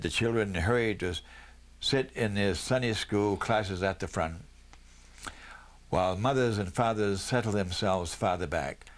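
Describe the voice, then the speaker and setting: A man reading a children's Christmas story aloud as scripted narration. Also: fairly low pitch. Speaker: storybook reader.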